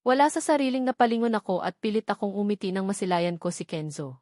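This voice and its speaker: A Filipino woman reads a romance novel aloud in the first person. Her voice sits at an ordinary pitch for a woman. The sound is dry, with no room around it. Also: fast, a little mellow, no room sound. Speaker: audiobook narrator.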